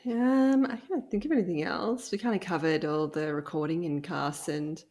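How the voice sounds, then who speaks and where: A woman's voice: sits low, normal speed, a little mellow. A woman chatting casually as a podcast guest, giving short, informal remarks. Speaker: podcast guest, audio drama co-creator. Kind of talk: casual interview chat.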